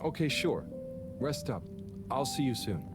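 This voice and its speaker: A man's voice: medium pitch, sing-song. A man voicing a shy, kind young man in a video game, speaking hesitantly. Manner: hesitant, halting. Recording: game audio with loud music.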